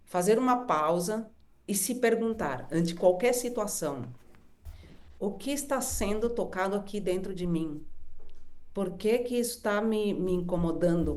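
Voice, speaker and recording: A woman facilitator on a live stream, speaking gently and reflectively about relationships, often ending thoughts with soft tag questions. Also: medium pitch. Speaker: workshop facilitator. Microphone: live stream from home.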